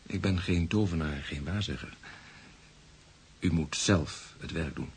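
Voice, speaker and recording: An actor in a radio drama playing a calm, courteous adviser who speaks in a polite, modest, measured way. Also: male voice, a bass voice, slight background noise. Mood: measured, serious. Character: calm, courteous male adviser receiving a nervous client.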